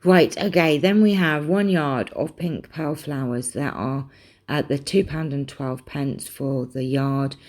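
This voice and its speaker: A woman's voice: deep voice, measured pace, a little mellow. A young British woman in a casual craft vlog, chatting and rambling with lots of ums, her voice a little worn and throaty. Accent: British English. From England. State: slightly losing her voice, clearing her throat. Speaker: craft vlogger. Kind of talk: casual chat showing craft supplies.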